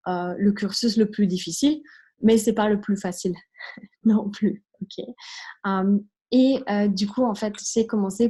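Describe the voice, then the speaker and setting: A woman's voice: medium pitch, mellow. A young woman from Switzerland, speaking French with a light Italian accent. She hosts an online video conference and tells her own story in a chatty, filler-filled way, with small laughs scattered through her speech. Her voice comes over a video call. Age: 20s. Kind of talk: testimonial presentation.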